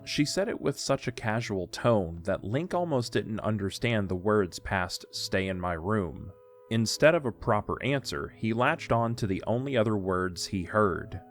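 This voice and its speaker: A man's voice: fairly low pitch, lively intonation, measured pace, soft background music. A man narrating a scripted story for an audio drama.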